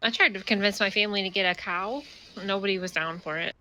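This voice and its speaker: A woman's voice: mid-range voice, quick pace, bright, forceful tone, dry recording, poor audio. A woman chatting casually with other players during a roleplay game, easygoing and full of "like" fillers, a little stuffy from allergies. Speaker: roleplay streamer. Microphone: headset or desk mic.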